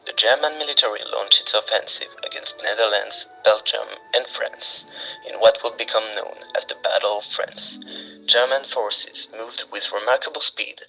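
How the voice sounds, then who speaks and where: A man's voice: on the low side, bright, forceful tone, rough recording. A man delivers scripted documentary narration as a newsreel reader. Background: background music.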